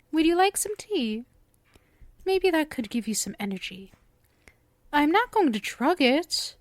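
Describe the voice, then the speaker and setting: A woman's voice: fairly high pitch, no echo. A woman playing a sweet, clingy, obsessive witch in an ASMR roleplay, cooing coyly to the listener and slipping into whispers. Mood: doting, coy. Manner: coy, cooing, breaks into whispers. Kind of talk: ASMR roleplay acting, intimate address to listener.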